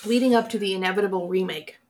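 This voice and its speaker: A woman's voice: mid-range voice, rapid-fire, darkish tone, slight background noise. A woman co-hosting a casual film review chat, answering in dry, teasing retorts. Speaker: podcast co-host.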